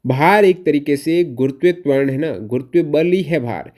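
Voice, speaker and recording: A man's voice: on the high side, normal speed, a little mellow. A man working as an exam coaching teacher, explaining questions to students, repeating answers for emphasis and mixing in English technical terms.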